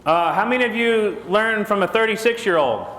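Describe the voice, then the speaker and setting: A man's voice: high voice, lively intonation, normal speed, fairly bright tone. A middle-aged man from Texas with a Texan accent, giving a jokey seminar talk on stage and asking the crowd questions. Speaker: conference speaker. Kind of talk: joking seminar talk to an audience. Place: large conference hall stage. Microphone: lapel mic. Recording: echoey hall with long reverb.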